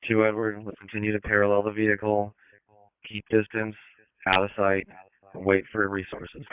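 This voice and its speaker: A man giving measured, commanding instructions as a police supervisor over two-way radio. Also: fairly low pitch, monotone, smooth. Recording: narrow-band police scanner radio audio.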